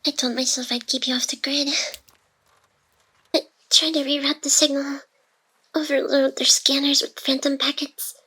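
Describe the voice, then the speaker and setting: A woman playing a playful, wounded young female AI hacker, secretly devoted, in intimate ASMR roleplay with breathy, halting, teasing speech that often drops to a whisper. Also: sits high, level tone, bright, forceful tone. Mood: hushed, pained.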